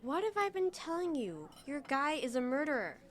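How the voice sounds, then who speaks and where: A woman's voice: high voice, sing-song, moderate pace. An actress playing a suspicious, insistent woman in a radio drama, speaking conversationally with pressing emphasis. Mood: insistent, accusing. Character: suspicious, insistent woman digging into a murder case.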